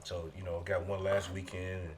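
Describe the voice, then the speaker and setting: Deep-voiced stuffy podcast man. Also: completely flat delivery, normal speed, slight background noise. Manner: laid-back, slangy, swears freely. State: stuffy from a summer cold. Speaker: podcast co-host. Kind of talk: casual chat.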